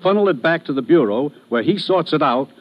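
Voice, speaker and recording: An actor as a high-pitched, brisk American commissioner. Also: male voice, lively intonation, lightly acted. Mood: businesslike. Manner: brisk, grave, authoritative. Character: businesslike male commissioner briefing his agent. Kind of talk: 1950s radio acting. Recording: dull vintage radio recording.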